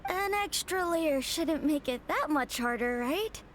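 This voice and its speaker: A woman's voice: high-pitched, expressive, measured pace, slight background noise. A woman voicing a confident, cheerful young student in a video game.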